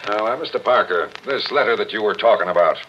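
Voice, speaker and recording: A man's voice: fairly low pitch, fast, a bit bright, natural voice. Man playing a wry, folksy homicide captain. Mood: probing. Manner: easygoing, colloquial, probing. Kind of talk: 1940s radio acting. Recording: muffled, noisy 1940s radio recording.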